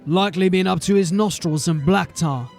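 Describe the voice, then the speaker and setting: An actor playing a wary, blunt city watch officer with a dry, guarded manner in a fantasy audio drama. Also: male voice, high voice, normal speed, gravelly, a little mellow, faint music behind. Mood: sardonic.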